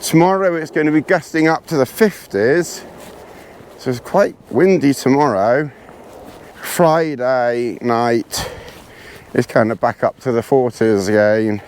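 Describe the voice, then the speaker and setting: A hoarse, congested man chatting casually to camera while hiking outdoors in the hills, rambling and poking fun at himself. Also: mid-range voice, measured pace, a little mellow, a little hiss or hum. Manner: rambling, self-deprecating, chatty. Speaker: vlogger.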